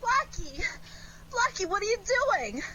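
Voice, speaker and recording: A woman playing an indignant, flustered girlfriend in a 1940s-style radio drama, exclaiming in a shrill manner. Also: sing-song, a little slow, noisy background, heavily acted. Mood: alarmed. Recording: dull, lo-fi radio-play recording.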